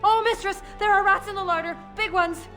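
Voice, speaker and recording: An actress playing a timid, anxious young elf kitchen servant in a fantasy game, meek and pleading. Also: female voice, very high voice, fairly even intonation, brisk, pushed and bright, faint music behind. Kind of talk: fantasy video game acting.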